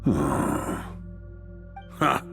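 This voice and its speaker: An actor playing a rugged, unflappable knight commander in a video game, speaking in a wry, musing manner. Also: male voice, extremely slow, fairly bright tone, faint music behind. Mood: wary, musing.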